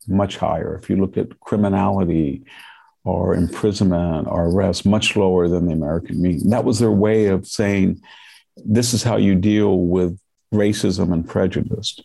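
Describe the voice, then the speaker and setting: An older man from California, stuffed up with a cold, giving measured, lecturing political commentary in a podcast conversation. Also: sits low, creaky, warm tone, slightly rough.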